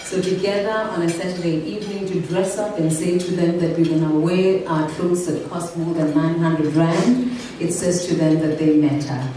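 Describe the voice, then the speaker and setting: A South African woman giving a keynote speech at an awards evening, measured, earnest and reflective. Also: lowish voice, fairly even intonation, warm tone, slight background noise. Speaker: keynote speaker. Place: event venue. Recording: echoey hall from far off.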